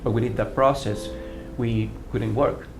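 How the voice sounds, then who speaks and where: A man from Venezuela with a Venezuelan accent, speaking English in an earnest, halting way with many restarts while he tells his story. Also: mid-range voice, fairly even intonation. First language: Spanish. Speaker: artistic director of a dance company. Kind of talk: personal testimony interview. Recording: roomy sound with music under it.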